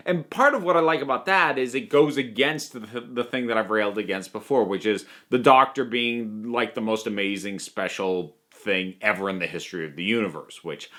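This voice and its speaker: A man's voice: lowish voice, moderate pace, hardly any pauses, fairly bright tone. Conversational, opinionated man reviewing. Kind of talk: spoken audio drama review to viewers.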